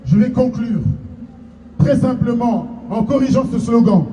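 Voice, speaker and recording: A man's voice: extremely high pitch, expressive, a little slow, a little hiss or hum. Guy at a rally declaiming, echoey and distant, crowd behind. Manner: slogan-like, declamatory, shouting. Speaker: rally speaker. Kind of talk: rousing protest speech. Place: outdoor square at a rally. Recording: echoey, far from mic, crowd.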